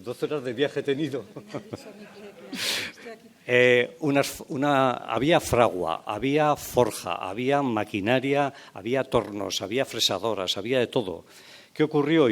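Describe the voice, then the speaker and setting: A man giving a historical talk at a panel, listing facts with wry asides. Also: mid-range voice. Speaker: conference speaker.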